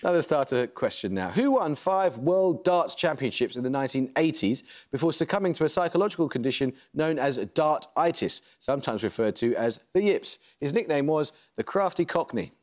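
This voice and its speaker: A man, hosting a quiz show in a TV studio. He introduces the teams and reads the questions in a crisp, measured, formal way, with dry asides. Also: fairly high pitch, expressive, darkish tone. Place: large TV studio set, seated at a desk.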